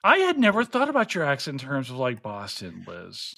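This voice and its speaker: A man's voice: medium pitch, huge pitch swings, brisk, creaky, a crowd in the background. A man from Florida hosting a casual podcast livestream, joking and self-deprecating as he chats with guests. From Florida, USA. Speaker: podcast host.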